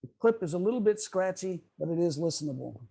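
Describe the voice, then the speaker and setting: A man giving a prepared, explanatory lecture in a measured way on a video call. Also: sits high, lively intonation, gravelly, warm tone, breathy edge. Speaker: presenter.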